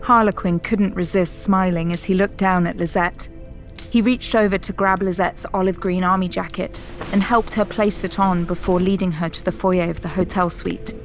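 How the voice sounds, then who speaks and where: A woman's voice: on the low side, steady tone, normal speed. A woman delivering scripted narration and credits for an audio drama. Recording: loud music under the voice. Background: music.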